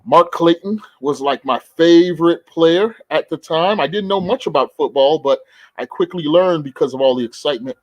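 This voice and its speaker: A middle-aged man from the Caribbean, a guest who tells stories in a relaxed, talkative way on a casual remote podcast chat. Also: fairly high pitch, normal speed, no echo. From the Caribbean; born in the Bahamas, grew up in Barbados.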